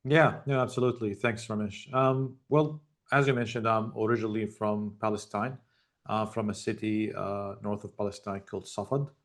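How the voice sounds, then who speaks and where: Tech founder guest from Jordan, middle-aged man, gravelly and lowish, talking over a video call, measured and reflective. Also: steady tone. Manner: measured, reflective, with frequent ums.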